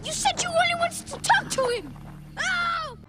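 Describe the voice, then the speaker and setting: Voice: extremely high pitch, lively intonation, moderate pace, heavily acted. A performer playing a frightened, eager young boy, a warrior's son, pleading anxiously in a game. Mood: scared. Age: child. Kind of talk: cinematic game voice acting. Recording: distant echoing scene, loud music.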